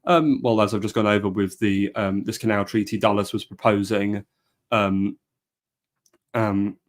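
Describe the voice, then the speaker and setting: A man with a low voice hosts a live history discussion. He talks in an even, flat line and often stops for fillers and false starts. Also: measured pace. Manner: hesitant, many fillers and restarts. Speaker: podcast host. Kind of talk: casual live-stream discussion.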